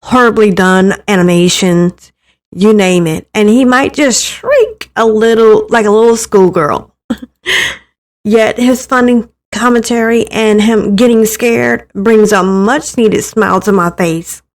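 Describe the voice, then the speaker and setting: A woman's voice: medium pitch, slow pace. A woman narrating a first-person story expressively, acting out the thoughts as she reads. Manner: acts out the narrator's thoughts, with stumbles in reading. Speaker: narrator reading a visual novel aloud.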